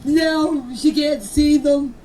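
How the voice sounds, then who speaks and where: A woman's voice: sits high, steady tone, takes it slowly, mellow, recorded from a distance, a little hiss or hum, low-quality recording. An older woman speaking informally in voice messages, plain-spoken, earnest and emphatic. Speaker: grandmother of missing children. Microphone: phone voice message.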